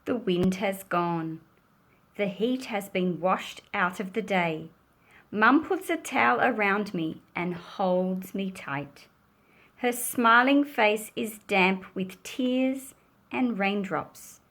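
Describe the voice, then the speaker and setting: A woman reading a children's picture book aloud in a gentle, expressive storytelling manner, with deliberate phrasing. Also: medium pitch.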